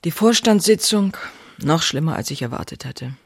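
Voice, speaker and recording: A woman's voice: low-pitched, lively intonation, normal speed, husky, exaggerated voice. An actress playing an outspoken, passionate woman writer who confides in her diary in an intimate, wry way. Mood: defiant, wry. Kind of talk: dramatized diary reading, scripted narration.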